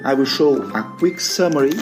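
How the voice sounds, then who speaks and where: A man's voice: on the high side, lively intonation, fairly quick. A man hosting a comedic mock talk show in a showy, grand presenter manner. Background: music.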